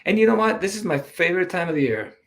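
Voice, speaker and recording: A man's voice: sits high, sing-song, fast talker, slight background noise. A man from Iceland, a native Icelandic speaker, talking casually in English as an interview guest. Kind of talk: casual chat.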